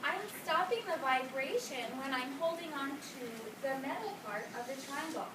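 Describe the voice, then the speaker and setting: A high-pitched woman teaching a music class, quizzing the children and drawing out their answers. She is picked up from across a reverberant classroom. A loud hiss of noise runs under her voice. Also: animated, moderate pace, recorded from a distance. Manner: questioning, coaxing children to answer. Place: school classroom.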